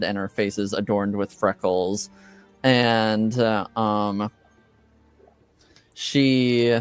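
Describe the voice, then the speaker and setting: A man working as game master, improvising tabletop game narration hesitantly, with many halting pauses. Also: fairly low pitch, smooth.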